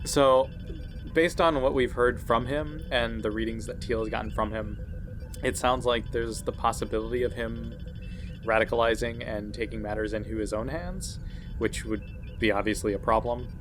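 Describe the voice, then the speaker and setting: A man's voice: mid-range voice, steady tone, normal speed. A man playing a tabletop role-playing game, talking through options casually and tentatively. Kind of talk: casual in-character game discussion. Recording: music playing behind the voice. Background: music.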